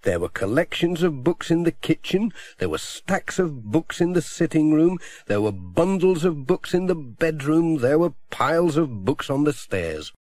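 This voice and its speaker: Man, middle-aged, storybook narrator, animated delivery, dry close mic. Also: on the high side, measured pace, few pauses, no echo. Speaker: audiobook narrator. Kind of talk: storybook reading. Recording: dry close audiobook recording.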